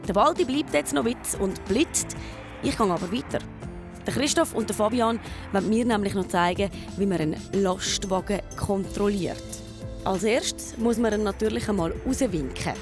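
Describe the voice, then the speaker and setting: A woman's voice: mid-range voice, normal speed, music in the background. A woman with a Swiss accent, a children's TV reporter, reading scripted documentary narration for young viewers.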